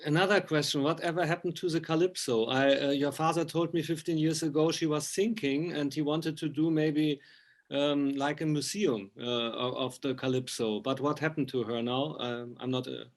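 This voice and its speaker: A man interviewing informally, with halting, hesitant phrasing in non-native English. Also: on the high side, fairly even intonation. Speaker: interviewer, magazine journalist.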